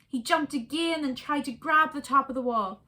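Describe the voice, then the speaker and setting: A woman reading a children's story aloud in a soft, expressive way. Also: sits high, normal speed.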